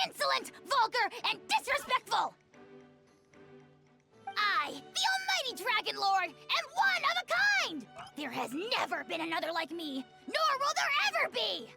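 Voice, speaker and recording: A tiny, vain video game dragon with a very high, creaky voice. It declaims pompously, swooping all over, and takes offence easily. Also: exaggerated voice. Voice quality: shrill, creaky. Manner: pompous, haughty, bombastic declamation. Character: tiny boastful pixel dragon lord, vain and easily outraged. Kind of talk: video game character acting.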